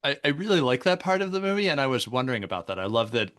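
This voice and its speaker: A man's voice: mid-range voice, very animated, racing, a bit bright. A man hosting a podcast, eager and appreciative, often stumbling over and repeating his words. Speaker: podcast host. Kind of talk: casual podcast interview.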